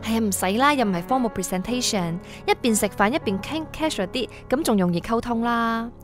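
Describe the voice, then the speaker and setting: An actress as a young woman, playing a tycoon's daughter in a radio play. She is breezy and coaxing, dropping in English words, with soft music behind her. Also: on the high side, expressive, fairly quick, natural voice. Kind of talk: radio drama acting, casual dialogue. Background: background music.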